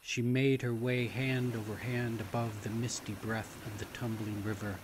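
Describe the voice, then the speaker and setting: Hushed male storyteller, noisy ambient background. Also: lowish voice, little pitch movement. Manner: hushed, deliberate, suspenseful, at times near whispering. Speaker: author reading own novel. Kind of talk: dramatic audiobook-style story narration.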